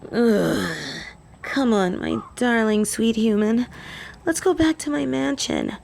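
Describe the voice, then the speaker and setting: A woman's voice: on the high side, slow pace, vocal fry, darkish tone. A woman playing a possessive, haughty female vampire in an audio roleplay, taunting and theatrical, with clingy endearments. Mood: mocking, disdainful. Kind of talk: scripted audio roleplay acting.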